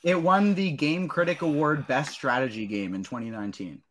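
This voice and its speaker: A man's voice: a little high, a little fast, fairly bright tone. A man hosting and moderating a podcast draft in casual, bantering chat.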